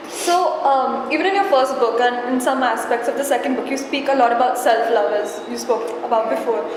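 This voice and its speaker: A woman's voice: a little high, fairly quick, reverberant, background noise. A woman interviewing a writer, asking follow-ups and offering encouraging remarks. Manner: encouraging, warm affirmations and follow-up questions. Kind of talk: casual interview. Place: cafe.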